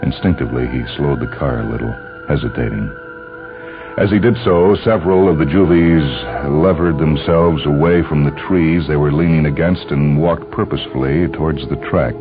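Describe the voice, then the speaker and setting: A man's voice: extremely low pitch, normal speed. A man reading a scripted story as a dramatic audiobook narrator. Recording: dull, band-limited lo-fi audio. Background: clear background music.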